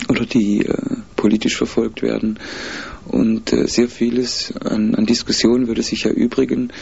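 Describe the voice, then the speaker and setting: A low, gravelly man with a husky edge to his voice, answering interview questions in a measured, hesitant way. He speaks in a flat, even tone and pauses often to think. The recording is dull and lo-fi. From mixed German, Spanish, Italian and Peruvian roots. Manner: measured, hesitant, many fillers.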